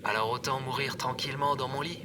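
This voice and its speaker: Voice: mid-range voice, steady tone, fast, distant mic, noisy background, natural voice. An actor playing a sulky, self-pitying man who grumbles his way through a scripted audio drama. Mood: sullen. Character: sullen, self-pitying man, bedridden loser.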